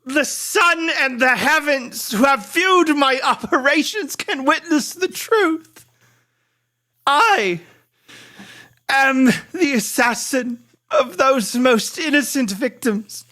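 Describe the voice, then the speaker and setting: A narrator acts out a guilt-ridden gentleman scientist in a gothic storybook, his voice pushed high and loud. He declaims in long, drawn-out phrases, frantic and despairing. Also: lively intonation, heavily acted. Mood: frantic, desperate. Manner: theatrical, drawn-out, impassioned declaiming.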